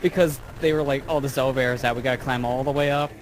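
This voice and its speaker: A man's voice: mid-range voice, steady tone, very fast, poor audio. A man joking and chatting casually as a co-commentator on a let's play. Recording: faint background noise and ambience.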